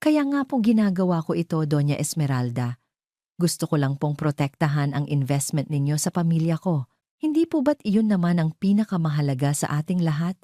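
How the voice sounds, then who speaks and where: A woman voicing a calm, poised, defiant young wife in an audio drama, speaking clearly and deliberately. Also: deep voice, no room sound, studio quality. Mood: quietly defiant.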